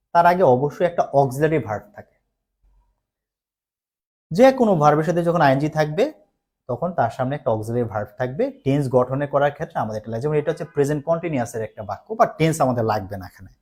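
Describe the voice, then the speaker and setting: A man with a Bangladeshi accent teaching live online, explaining step by step with repetition and check questions, mixing in English terms. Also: mid-range voice. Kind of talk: live online grammar lesson.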